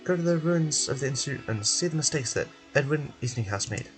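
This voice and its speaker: A formal, businesslike research official in a fantasy game, voiced by a man. The delivery is polite and wordy, at a middling pitch with animated inflection. Music sits softly behind. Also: a little fast, natural voice. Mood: officious, earnest.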